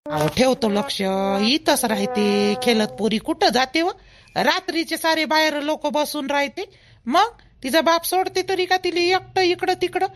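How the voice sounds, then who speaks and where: A reassuring village mother acted by a woman with a high voice. She talks in homely Vidarbha Marathi dialect, in the style of a homemade cartoon. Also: measured pace, a little hiss or hum. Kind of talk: amateur cartoon voice acting.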